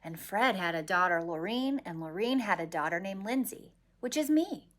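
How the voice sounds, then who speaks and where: A woman reading a children's picture book aloud expressively, with dramatic pauses. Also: mid-range voice, expressive. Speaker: story reader.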